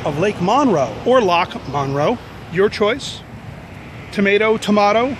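A man's voice: sits high, very animated, a little slow. A man in his forties hosting a vlog, joking his way through an outdoor visit, filmed with a handheld camera at arm's length beside a busy road. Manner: playful, mock-dramatic, wisecracking. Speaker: vlog host. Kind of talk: jokey exploring vlog. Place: outdoors by a road, overcast and drizzly. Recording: outdoor traffic noise behind. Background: passing cars.

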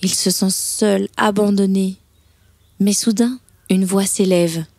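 A woman's voice: medium pitch, fairly even intonation, slow, no echo. A woman narrating a scripted children's story as a storyteller. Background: soft background music.

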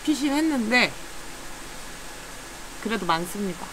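A woman's voice: on the high side, lively intonation, unhurried, fairly bright tone, a little hiss or hum, low-quality recording. A woman, chatting casually and laughing easily while she vlogs a solo camping trip at a campsite. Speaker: camping vlogger. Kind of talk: casual solo vlog chat. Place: campsite in a valley outdoors.

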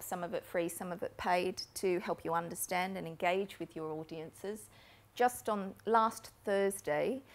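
A woman in her forties giving a conference talk in a lecture room on a lapel mic, explaining steadily with frequent ums. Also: fairly low pitch. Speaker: keynote speaker on marketing. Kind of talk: conference presentation. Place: lecture room with a projector screen.

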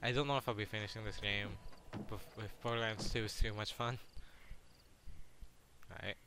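A man's voice: lowish voice, steady tone, moderate pace. A young man commenting casually and sarcastically while playing a video game. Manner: joking, sarcastic. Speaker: let's play gamer. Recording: faint background noise.

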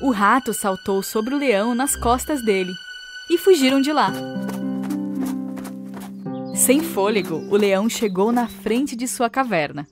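A woman's voice: fairly high pitch, animated, unhurried, pauses often. A woman narrating a scripted children's story. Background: music.

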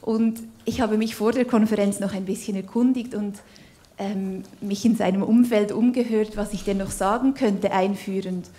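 A woman's voice: mid-range voice, fairly even intonation, normal speed, dark tone. A woman introducing a keynote speaker in a lecture hall, friendly and conversational. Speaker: conference moderator.

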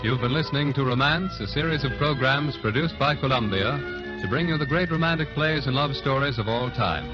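A male announcer reads scripted credits in old-time radio style. His voice is medium in pitch, with clear music behind and a dull, vintage sound. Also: brisk.

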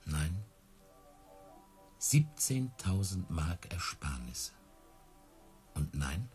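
An actor playing an earnest, easily hurt big-cat tamer in love, in a radio play. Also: male voice, deep voice, big pitch swings, drawn-out words, darkish tone, soft background music, heavily acted. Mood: devoted, hurt.

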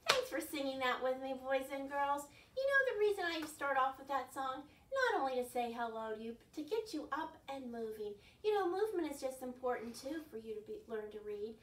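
An older woman leads a children's storytime in a high voice that swings widely up and down. Her delivery is expressive and made for little listeners. The sound is roomy, as if picked up from across a room. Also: a little fast, few pauses. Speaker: library storytime host. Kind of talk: children's storytime, reading aloud and singing. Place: carpeted living room at home. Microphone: camera a few feet away. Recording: roomy, distant mic, some background noise.

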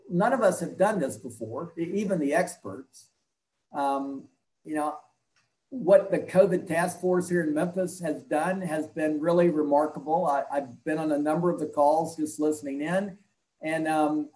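A man in his sixties giving measured, halting answers as an interview guest over a video call from an indoor room. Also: on the high side, a little mellow, echoey room, low-quality recording. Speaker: interview guest, health clinic leader and doctor.

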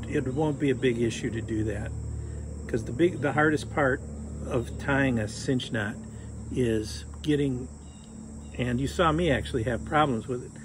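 A man's voice: mid-range voice, background noise. A man in his sixties giving a casual, joking fly fishing lesson while tying knots on a sunny patio. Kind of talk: casual how-to demonstration. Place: outdoors on a sunny patio by a fence.

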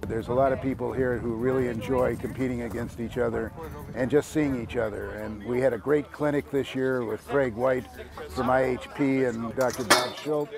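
Gravelly older man, upbeat organizer. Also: mid-range voice, fairly even intonation, normal speed. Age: 70s. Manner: upbeat, promotional. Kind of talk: documentary interview. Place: outdoors at a track meet. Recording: interview under background music.

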